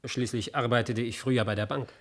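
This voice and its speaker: Radio play casting: male actor as learned ex-banker and knowledge broker, wry, curious, measured delivery, mid-range voice. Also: mid-range voice, steady tone, natural voice. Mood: matter-of-fact. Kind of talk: German science-fiction radio play dialogue.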